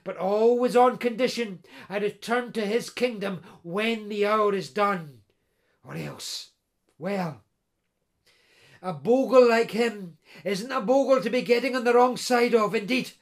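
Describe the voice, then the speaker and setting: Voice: medium pitch, measured pace, lightly acted. A storyteller voicing a sorrowful young ghost bride. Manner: soft, hesitant, repeating words.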